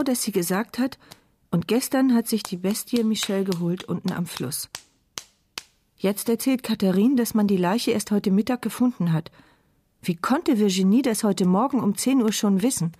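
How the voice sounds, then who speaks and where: A woman's voice: mid-range voice, dark tone, husky. An actress playing a sceptical woman thinking to herself in a radio drama, dry, sardonic and reflective. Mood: pondering. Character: blind, mute woman's inner voice, wry, sceptical, brooding. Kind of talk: radio drama inner monologue.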